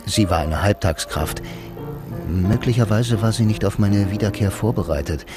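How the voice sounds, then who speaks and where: A man's voice: lowish voice, moderate pace, natural voice. An actor playing a sardonic blind bank employee who narrates his story in a radio play, dryly and laconically. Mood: deadpan, brooding. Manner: dry, deadpan, laconic. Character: sardonic blind male bank copywriter, a lonely self-styled noir hero. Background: music.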